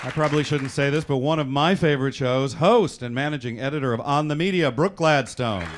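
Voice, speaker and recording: A man's voice: medium pitch, brisk. A man hosting a live stage show, introducing performers in an announcer-like way with conversational asides. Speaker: host and director introducing a cast. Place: theatre-style performance space before an audience. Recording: live hall, clear crowd noise. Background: audience noise.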